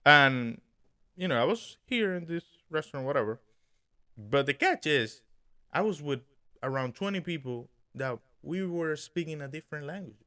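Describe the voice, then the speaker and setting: A young man co-hosting a podcast, telling a dream story in an animated way, laughing and acting out what people said. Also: fairly high pitch, moderate pace. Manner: animated, laughing, acts out quotes. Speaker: podcast co-host. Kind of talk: casual chat, storytelling.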